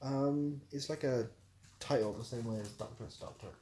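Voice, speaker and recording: A man's voice: medium pitch, normal speed, dark tone. Guy doing a casual livestream q&a, mid voice, kinda rambly and self deprecating. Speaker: live streamer answering chat questions. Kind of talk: casual chat, reading out and answering viewer questions.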